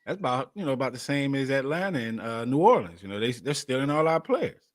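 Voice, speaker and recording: Male co-host, rambling filler-heavy delivery, animated pitch. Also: fast. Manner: rambling, lots of "you know" fillers. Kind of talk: casual sports chat.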